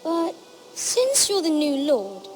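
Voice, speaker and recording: A woman's voice: high-pitched, huge pitch swings, slow, a little mellow, faint music behind, full character voice. A woman voicing an innocent, eager little girl, the youngest sister in a fantasy game drama. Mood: curious, playful. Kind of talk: dramatic video game acting.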